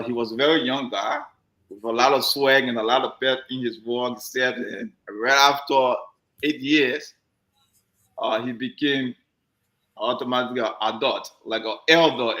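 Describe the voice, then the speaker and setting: A man's voice: medium pitch, fairly bright tone. A man hosting a community talk show, joking and chatting easily and often repeating his words. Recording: roomy echoey sound.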